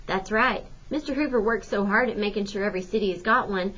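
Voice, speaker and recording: A wry drifter man, voiced by a woman reading a novel aloud, talking in plain, folksy phrases at a medium pitch. The recording is a little muffled. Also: quick pace, a bit bright, natural voice. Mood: wry, explaining. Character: folksy, wry hobo man with mouth organ. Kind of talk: audiobook character voice.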